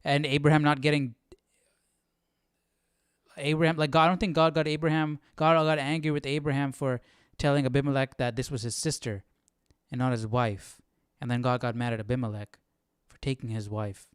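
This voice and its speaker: A man's voice: medium pitch, level tone, normal speed, clear, resonant tone, no echo, clean studio sound. A man hosting a casual Bible study, thinking aloud in a hesitant, questioning way and often checking in with the listener. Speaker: Bible study livestream host.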